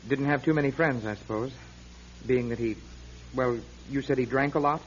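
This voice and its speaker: An actor playing a polite, awkward young priest in a radio drama, earnest and slightly husky, on a muffled recording. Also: male voice, medium pitch, normal speed, a little hiss or hum, close to an everyday voice. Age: young adult.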